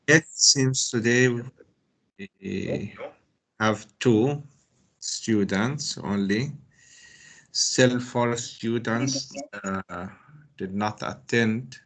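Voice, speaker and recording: A man lecturing a small online university class, talking in short, unhurried phrases. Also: mid-range voice, rough recording.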